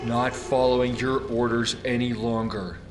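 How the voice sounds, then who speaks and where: An actor playing a defiant, embattled military commander in a sci-fi drama, speaking tersely. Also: male voice, medium pitch, little pitch movement, moderate pace, slight background noise, close to an everyday voice. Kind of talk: cinematic sci-fi drama acting. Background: very loud music.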